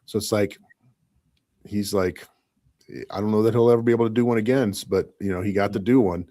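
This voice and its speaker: A man's voice: fairly low pitch, fairly even intonation, a little fast, warm tone. A man in his fifties from Michigan, talking in a rambling, reflective way in a casual podcast chat. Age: 50s. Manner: rambling, reflective, often says "you know what I mean".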